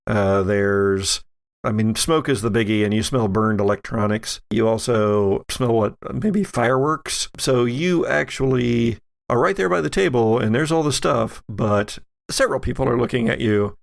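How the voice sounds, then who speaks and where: A man running a tabletop game as game master, narrating off the cuff in a halting, conversational way. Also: mid-range voice, gravelly.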